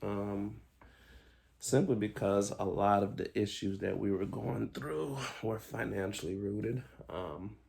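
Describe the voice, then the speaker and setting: A man's voice: on the low side, slow pace, gravelly, darkish tone. A young man from Haiti telling his own life story in a candid, reflective way, with many ums and restarts. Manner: frequent ums, candid, self-correcting.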